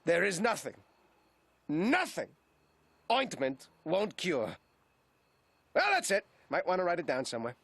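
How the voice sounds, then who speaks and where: Very high-voiced cartoon duck dad, whining, belly-aching, explosive, lively swooping delivery, faint noise behind. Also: male voice, a little slow, creaky, long pauses, slightly rough, no echo, exaggerated voice. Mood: mock solemn. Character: ranting, griping cartoon duck detective and father. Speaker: private detective. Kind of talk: animated comedy acting.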